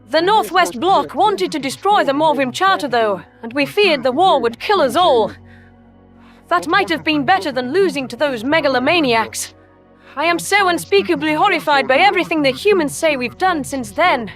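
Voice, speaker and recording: A woman playing an ancient, principled alien predator soldier who speaks in a smooth, formal, reproachful way in an audio story. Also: high-pitched, lively intonation, normal speed, a bit bright. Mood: appalled. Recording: music under the voice. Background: music.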